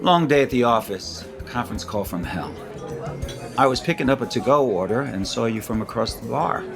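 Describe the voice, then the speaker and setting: A middle-aged businessman father in an audio soap opera. His voice sits at a medium pitch, breezy but probing, with music clearly behind it. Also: lively intonation, moderate pace. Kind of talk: naturalistic soap opera dialogue. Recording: studio drama with music underneath.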